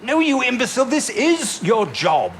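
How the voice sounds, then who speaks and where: An actor playing an eccentric, excitable wartime British codebreaking chief in an audio drama, with an emphatic, exclamatory manner. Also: male voice, extremely high pitch, a little hiss or hum, heavily acted. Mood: exasperated, insistent. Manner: emphatic, exclamatory, halting when agitated. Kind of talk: period audio drama acting.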